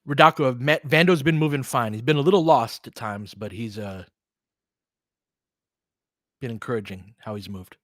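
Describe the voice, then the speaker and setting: A man's voice: medium pitch, normal speed, pauses often, a bit bright, dry recording, studio quality. A man hosting a live basketball stream, giving casual, analytical commentary and chatting with viewers in between short reactions to the play.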